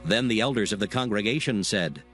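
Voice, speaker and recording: A man's voice: lowish voice, animated, a little fast, fairly bright tone. Scripture-narrating man over prominent music.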